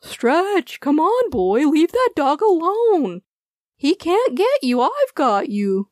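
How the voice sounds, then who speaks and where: A woman playing a gentle, soothing male giraffe who speaks calmly to reassure his little dog. The voice is high and swoopy, recorded close and dry. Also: slow, dry recording.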